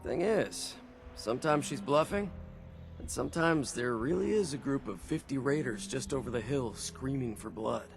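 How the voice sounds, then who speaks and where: An actor playing an easygoing city security guard in a video game, friendly, informal and apologetic. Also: male voice, mid-range voice, expressive, moderate pace, creaky, soft background music. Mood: casual. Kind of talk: video game dialogue acting.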